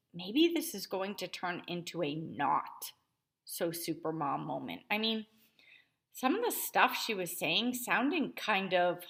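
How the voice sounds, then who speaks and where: A woman's voice: lowish voice, pushed and bright. A woman reading a children's novel aloud, narrating expressively in the first person as a child. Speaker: storybook reader narrating as a child.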